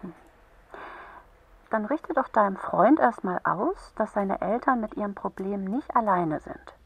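A woman playing a kind, patient helpline counsellor for children in a radio play, gently explaining and encouraging. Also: medium pitch, lively intonation, measured pace, gravelly, breathy edge, full character voice, poor audio. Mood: gentle, encouraging.

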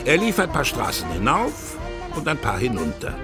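A man's voice: mid-range voice, huge pitch swings, moderate pace. A man acting as the storyteller of a children's radio play, narrating in a melodic, scripted style. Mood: playful narrating. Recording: prominent music under narration.

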